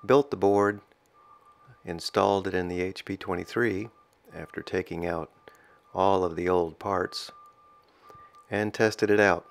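A man giving a measured, halting voice-over narration as a hobbyist explaining his own project. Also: low-pitched, steady tone. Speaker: amateur radio hobbyist narrating own restoration.

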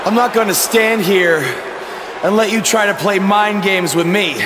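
A man's voice: sits high, steady tone, normal speed, lightly acted. A man playing a defiant wrestler giving an in-ring promo in a video game. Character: defiant male wrestler answering a challenge. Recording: echoing arena, noisy background.

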